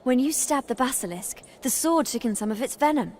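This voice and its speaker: A woman's voice: high-pitched, expressive, a little fast, a little mellow, slight background noise. A woman voicing an anxious, clever teenage girl witch in a video game, earnest. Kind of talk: dramatic video game dialogue.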